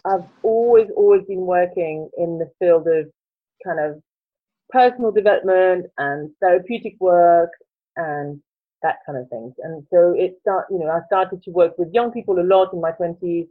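A woman's voice: on the low side, moderate pace. A French woman in her forties with a French accent, answering interview questions thoughtfully and searching for words as she goes. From France. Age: 40s. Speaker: guest, coach. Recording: tinny phone-like line.

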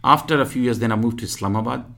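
A man in his fifties from Pakistan, reminiscing in an interview in a halting way full of fillers. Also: mid-range voice, creaky, fairly bright tone. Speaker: guest.

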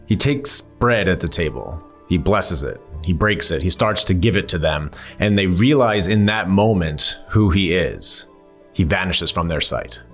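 Male podcast host, low voice, measured earnest delivery, faint music behind. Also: fairly bright tone. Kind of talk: scripted podcast intro and interview.

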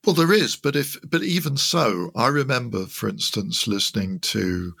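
A man's voice: medium pitch, expressive, moderate pace, creaky, sharp tone, no echo. An older man giving conversational technical explanations as an interview guest, with hesitant, thoughtful phrasing. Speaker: interview guest, loudspeaker designer.

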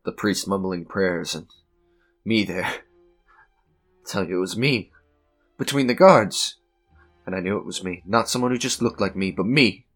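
Casting: tormented, unhinged narrator of radio suspense drama; low voice, slow halting delivery, theatrical intensity, music underneath. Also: male voice. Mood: haunted, tense. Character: tormented, unhinged man recounting his own doom.

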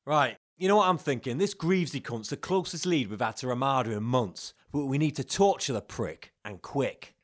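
An actor playing a volatile, foul-mouthed middle-aged gangster boss in a dark comedy crime drama, aggressive and blustering. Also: male voice, mid-range voice, lively intonation, normal speed, hardly any pauses, natural voice. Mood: irritated, menacing.

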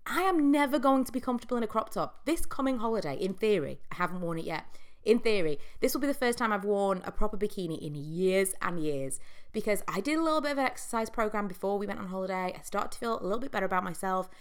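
A woman's voice: mid-range voice, animated, fast talker. A young woman hosting a solo podcast, talking in a chatty, reflective way with frequent ums and likes, her voice congested from a cold. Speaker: podcast host. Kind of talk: casual solo podcast monologue.

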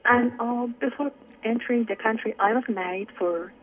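A woman's voice: a little high, normal speed. A young woman from Iran calling in to a radio show, talking hesitantly with many fillers as she searches for words. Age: young adult. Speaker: caller. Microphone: telephone. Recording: tinny phone line.

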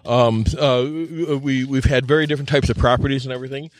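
A man hosts a loose, casual conversation at a mid-range pitch. He is halting and stammers, often doubling up words before getting to his point. The talk sounds unscripted, recorded outdoors. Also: fairly even intonation, no room sound. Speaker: show host. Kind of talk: casual round-table chat. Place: outdoors by a bonfire.

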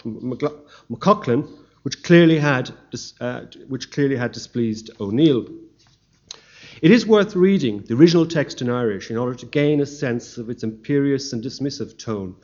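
A man delivers a formal history lecture, reading his paper at an even, measured pace. His pitch sits in an ordinary middle range. The recording is dull and lo-fi.

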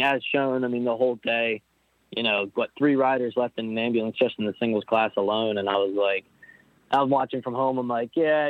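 A young man from Pennsylvania talks in an easygoing, rambling way and often restarts his sentences. He comes through a tinny phone line with a dry sound and no room echo. His pitch sits in an ordinary middle range. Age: young adult. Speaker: guest, motorcycle racer. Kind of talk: casual interview over the phone.

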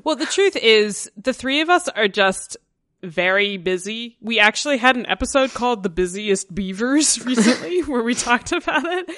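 A woman's voice: on the high side, lively intonation, moderate pace, fairly bright tone, no echo, studio quality. A woman hosting a casual podcast chat, talking frankly and laughing often. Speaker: podcast host.

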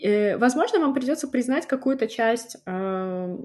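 A woman's voice: fairly high pitch, animated, moderate pace, a little mellow. A woman hosting a solo podcast on language teaching, talking casually with many "right?" tags and little laughs. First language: not native in the language she teaches. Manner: chatty, frequent "да?" tags and fillers, laughs now and then. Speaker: podcast host and phonetics teacher.

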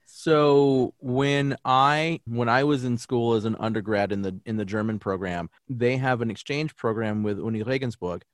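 A man's voice: mid-range voice, fairly even intonation, normal speed, few pauses, no echo, studio quality. A young adult man, a native English speaker who teaches German, talking earnestly and reflectively in a podcast interview, often restarting his sentences.

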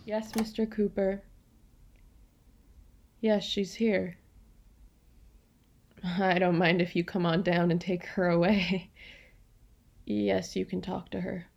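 A woman's voice: on the low side, level tone, takes it slowly, gravelly, pauses often, a little mellow, heavily acted. A woman acting in a radio play as a defensive, stubborn woman, skeptical and clipped in manner. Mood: cool, sardonic. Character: defensive, stubborn woman of Turkish descent in denial.

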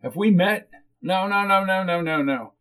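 A man's voice: high-pitched, moderate pace, fairly bright tone, clean tone, pitched above the performer's own voice. A man voicing a whiny, chatty woman in her fifties with a brash, playful, familiar manner in a story reading. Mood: eager, familiar.

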